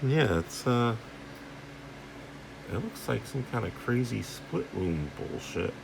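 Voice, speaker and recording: Slow low-voiced guy rambling through a repair vid, lots of vocal fry, noisy room hum behind him. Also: lively intonation. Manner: rambling, thinking aloud; salty, informal language. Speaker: host of a radio repair video. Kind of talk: casual hands-on commentary while inspecting equipment.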